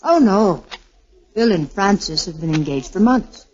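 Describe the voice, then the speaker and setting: A woman's voice: medium pitch, lively intonation, measured pace, darkish tone, a little hiss or hum, lightly acted. Poised young woman, acted, muffled old radio sound. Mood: poised, amused. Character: poised, pleasant young woman, gently direct with suitors. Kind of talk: 1940s radio drama acting. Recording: muffled 1940s radio broadcast, faint music.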